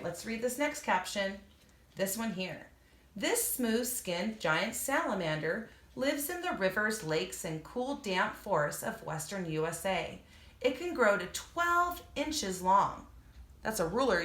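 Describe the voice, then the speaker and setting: A woman reads an informational book aloud for children, speaking to her young listeners directly and pausing to explain as she goes. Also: mid-range voice, a bit bright. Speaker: reader and teacher for children. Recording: echoey room.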